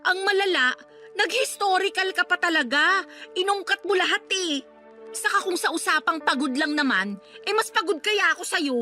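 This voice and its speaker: A young woman acting a careless, irritable girlfriend in a radio drama, quick to snap back. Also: very high voice, bright, forceful tone, faint music behind. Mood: irritated. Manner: snappy, dismissive, defensive.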